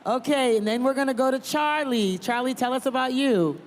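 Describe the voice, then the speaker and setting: A woman originally from Liberia moderating a live panel, chatty and quick to laugh. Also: high-pitched, slight background noise. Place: large convention hall.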